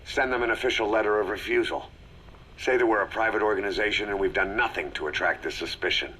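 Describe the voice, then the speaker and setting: An actor playing a gruff, stoic middle-aged military commander in a video game, terse and commanding, with a gravelly voice. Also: male voice, fairly low pitch, quick pace, pushed and bright, slight background noise, low-quality recording.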